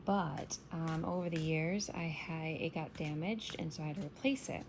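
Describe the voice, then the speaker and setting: Low-voiced woman, scratchy morning voice. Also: normal speed. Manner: chatty, meandering, soft-spoken. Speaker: tarot reader. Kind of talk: casual morning tarot reading.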